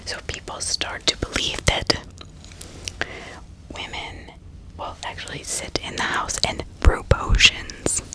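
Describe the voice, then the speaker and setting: A woman's voice: slow pace, pauses often, rough recording. Woman whispering an ASMR true-crime narration. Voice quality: whispered, breathy and unvoiced. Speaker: ASMR host.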